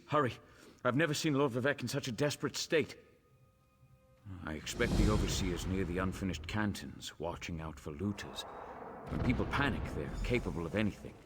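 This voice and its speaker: A man playing an anxious, devout temple follower in a fantasy video game, flustered and fretful, with a husky voice. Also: medium pitch, big-room sound. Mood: hushed, instructing. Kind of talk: video game dramatic acting.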